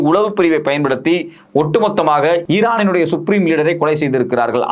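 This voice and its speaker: A man with an Indian Tamil accent giving news analysis, explaining emphatically with repeated phrases and rhetorical questions. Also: a little high. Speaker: political commentator.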